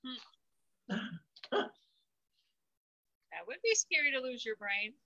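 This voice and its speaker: A woman's voice: high voice, very animated, extremely slow, sharp tone, dull audio. A woman making casual, exclaiming comments in reaction to a children's story. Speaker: story-time co-host reacting to the reading.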